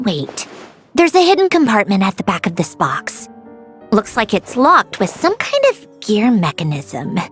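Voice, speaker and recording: A woman's voice: mid-range voice, wildly swooping pitch, unhurried. A proud young female mechanic from a video game. Her delivery swings expressively between dry precision and nostalgia, sure of her own brilliance. Music plays behind her. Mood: curious, smug. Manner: self-assured, precise, nostalgic. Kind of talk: scripted video game dialogue.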